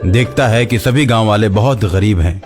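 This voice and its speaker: A man's voice: on the low side, normal speed, music in the background. A man narrating a scripted moral story as a storyteller.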